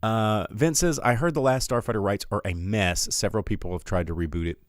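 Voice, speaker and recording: An American man hosting a live film talk show, chatting casually with viewers in an animated, opinionated way and repeating words for emphasis. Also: on the low side, fairly quick, dry recording, studio quality. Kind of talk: casual livestream chat with viewers.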